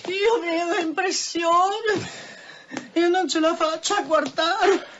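An actress in a radio drama playing a flustered, squeamish brothel madam who talks in halting, colloquial stammers. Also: female voice, very high voice, fairly bright tone, exaggerated voice. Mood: horrified. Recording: dull, noisy radio drama recording.

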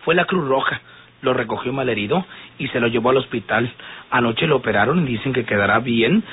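A man's voice: mid-range voice, lively intonation, fairly quick, husky. An actor playing a fussy, effeminate man with a Mexican accent, petulant and sighing, in old radio drama style. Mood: grave, reproachful. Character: fussy, effeminate man fretting over his honor. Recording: muffled, phone-like old radio recording.

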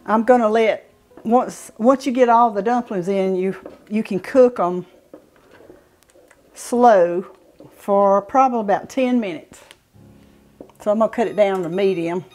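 A woman's voice: medium pitch, measured pace, long pauses, a little mellow, soft background music. Southern US woman in her sixties, cooking host. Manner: folksy, explaining step by step while working. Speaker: home cooking show host. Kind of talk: informal cooking demonstration. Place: home kitchen, indoors. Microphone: clip-on lapel mic.

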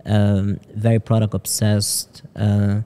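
A man in his thirties from Lebanon talks through his work and daily routine in a halting way, doubling back on words. His low voice stays flat and very dark. He is close on a boom-arm studio mic in an office lounge. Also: clean tone. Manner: halting, repeats words, quick bursts. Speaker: startup CEO guest. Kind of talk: casual interview answers. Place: office lounge podcast set.

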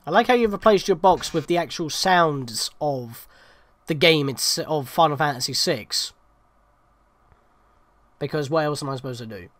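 A man's voice: on the high side, lively intonation, normal speed, pauses often, a bit bright, no echo. A young man hosting a let's play with casual, wry, conversational game commentary.

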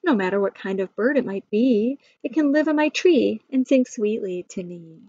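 A woman reads as a storybook tree kangaroo, a wistful and loving mother with a fairly high voice. The delivery is tender and expressive, on a dry, slightly dull recording. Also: normal speed, breathy edge, dry recording. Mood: eager.

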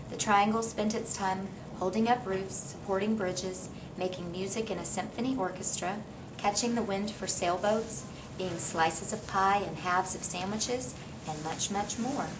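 A woman's voice: medium pitch, a little slow. A middle-aged woman reads a storybook aloud to a young child as a teacher, encouraging and prompting with questions. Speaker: teacher reading aloud to a kindergartener. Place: small indoor classroom reading corner. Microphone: camera a few meters away. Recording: noisy room, distant camera microphone.

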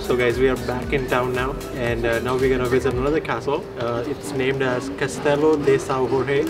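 A young man talks to the camera in a casual travel vlog style. His pitch is average for a man. Very loud music runs behind his voice. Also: level tone, a little fast. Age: young adult. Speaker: travel vlogger. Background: very loud background music.

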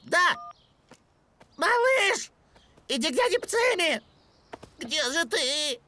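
A squeaky fussy green sand fairy. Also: female voice, very animated, takes it slowly, exaggerated voice. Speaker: voice actor in animated series dub. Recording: studio voice with loud music behind.

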